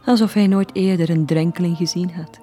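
An actress with a Flemish accent playing a village gossip relishing a scandal in a radio play. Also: female voice, fairly low pitch, steady tone, a little fast, mellow, faint music behind, lightly acted. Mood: regretful gossip. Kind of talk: 1980s radio play acting.

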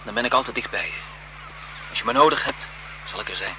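An actor playing a lovesick, desperate photographer in a melodramatic, impassioned radio thriller style. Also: male voice, medium pitch, quick pace, natural voice. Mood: earnest, devoted. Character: lovesick, desperate male photographer turning bitter and vengeful. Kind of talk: 1970s Dutch radio thriller acting. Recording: noisy 1970s radio drama broadcast.

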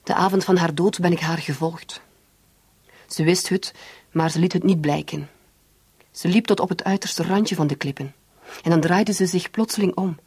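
A woman's voice: fairly low pitch, normal speed, long pauses, darkish tone, slightly rough, no room sound. An actress playing an anguished, lovelorn woman in a radio drama, speaking with confessional intensity. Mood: haunted. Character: anguished woman secretly in love with her brother-in-law.

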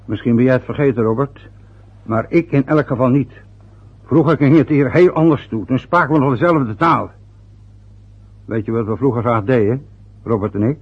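A man's voice: mid-range voice, normal speed, long pauses, husky, lightly acted. An actor playing a bitter middle-aged father who grumbles and reproaches his son. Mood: reminiscing. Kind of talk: radio play acting. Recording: dull lo-fi old radio recording.

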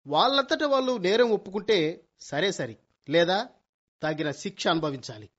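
A cocky young household detective in a radio comedy. His voice sits high, and he declaims grandly like a lawyer in court, dropping in English phrases. The recording is dull and lo-fi. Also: very animated, normal speed, a bit bright, full character voice. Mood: dramatic, revealing. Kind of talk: Telugu radio comedy play acting.

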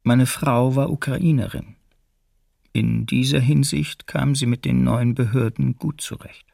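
A man's voice: medium pitch, fairly even intonation, measured pace, vocal fry, darkish tone, dry recording, clean studio sound. An actor playing a troubled man in hiding in a documentary radio play, reading his memoir soberly and reflectively.

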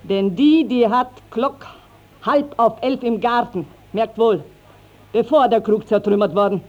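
A woman's voice: a little high, measured pace. An actress playing a garrulous, righteous village mother, declaiming in a classical German radio drama. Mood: accusing. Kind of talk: classical German stage-style radio acting. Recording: dull 1950s radio drama recording.

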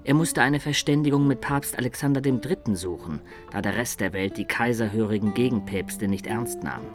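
A man's voice: medium pitch, moderate pace, over music, close to an everyday voice. An actor playing a wistful adventurer in a radio drama, recounting his life in a reflective, confiding way. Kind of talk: radio drama acting and storytelling.

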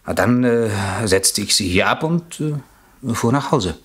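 An actor playing an evasive, defensive gentleman under police questioning in a German radio crime play, formal and hesitant with a husky voice. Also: mid-range voice, expressive, measured pace.

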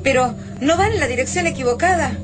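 High-voiced young Argentine woman survivor. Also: expressive, brisk, background noise. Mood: earnest, urgent. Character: beautiful young woman survivor, pleading yet alluring. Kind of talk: radio drama acting.

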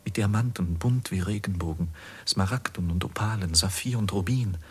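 An actor playing an anguished young goldsmith's journeyman in a 1950s German radio drama, with husky, declamatory delivery that moves from hushed to impassioned. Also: deep voice, moderate pace, natural voice. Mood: agitated, recounting. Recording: muffled vintage 1950s radio recording.

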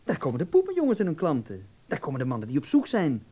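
Radio drama: vain young dandy; highish, very lively delivery, arch, worldly, mockingly patronizing. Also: male voice, quick pace, breathy edge, exaggerated voice. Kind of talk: radio play acting.

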